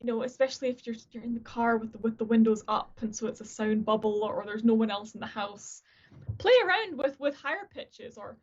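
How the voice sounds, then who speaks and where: A woman's voice: on the high side, brisk. Chatty woman on a muffled video call. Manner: restarts sentences, chatty and self-deprecating. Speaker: guest, opera singer and voice teacher. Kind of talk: casual video-call conversation. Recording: dull lo-fi video-call audio.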